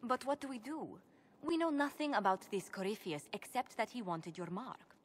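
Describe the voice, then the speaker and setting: A woman's voice: a little high, normal speed, slight background noise, close to an everyday voice. A woman playing a composed, analytical adviser in a fantasy game, formal and articulate. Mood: thoughtful, concerned. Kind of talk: dramatic fantasy game dialogue.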